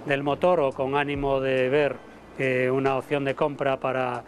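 A man in his fifties, a motor show director, speaking to camera in a halting way with many fillers and repeated words, recorded outdoors on a lapel mic. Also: medium pitch, level tone, slight background noise. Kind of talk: interview to camera. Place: outdoor terrace above a city port.

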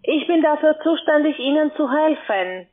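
High-voiced insistent woman on phone line. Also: expressive, normal speed, faint music behind. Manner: formal, evasive, insistent. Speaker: call center agent. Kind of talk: phone sales call. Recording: tinny band-limited phone line.

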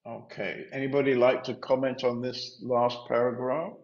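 A man's voice: medium pitch, flat delivery. Older man, echoey dull video call. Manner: measured, gently halting, explaining. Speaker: spiritual teacher. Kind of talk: informal teaching and chat. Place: room at home, indoors. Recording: echoey room, dull lo-fi sound.

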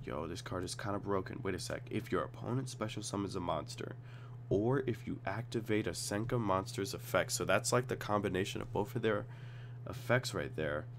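A man with a cold and a slightly raspy voice, casually reading card text aloud and reacting as he reviews cards at his computer. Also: deep voice, fairly quick, slight background noise. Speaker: card game tutorial host.

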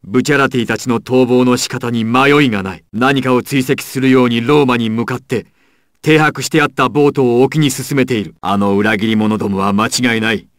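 A man's voice: medium pitch, fairly even intonation, a little fast, a bit bright, no room sound, clean studio sound, close to an everyday voice. A man playing a proud, ruthless crime boss villain in an anime fighting game, with an imperious, menacing and theatrical delivery. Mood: grave, commanding.

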